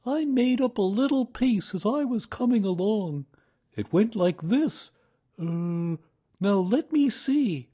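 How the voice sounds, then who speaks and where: A man voicing a gentle, simple-minded bear in a storybook reading, hesitant and earnest. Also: sits high, lively intonation, husky, pitched above the performer's own voice, heavily acted. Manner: hesitant, earnest, slow-spoken.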